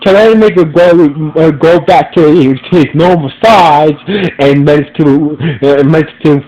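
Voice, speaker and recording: A man's voice: fairly high pitch, a little slow, a little mellow, rough recording. A man hosting an audio review podcast, talking with a stuffy nose and rambling through many restarts and fillers. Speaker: host. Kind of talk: casual episode review podcast. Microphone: audio-only podcast recording.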